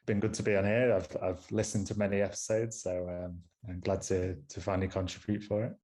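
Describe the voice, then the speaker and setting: Young manchester guy, strong northern accent, low voice, chatty science guest. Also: flat delivery, fairly quick, mellow. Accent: northern English. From Manchester, England. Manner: explanatory, with small restarts. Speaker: astronomer guest. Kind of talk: casual podcast interview.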